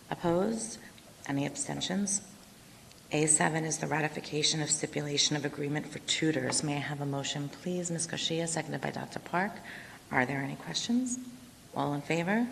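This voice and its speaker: Chairwoman, low gravelly voice, going hoarse, brisk procedural reads, echoey hall with faint hiss. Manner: brisk procedural reading of agenda items. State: losing her voice, coughing. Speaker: school board president chairing a meeting. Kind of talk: formal meeting procedure. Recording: reverberant hall with faint noise.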